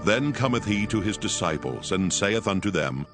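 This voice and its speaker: A man with a fairly low voice narrates a dramatized scripture. His delivery is solemn and scripted, with music playing clearly behind him. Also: expressive, moderate pace. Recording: background music under the voice.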